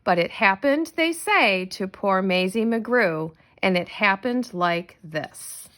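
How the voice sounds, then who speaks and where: A middle-aged woman reads a children's rhyming story aloud. Her delivery is expressive, with wide swings of intonation. She takes an unhurried pace that lets each line land. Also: medium pitch. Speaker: storybook reader.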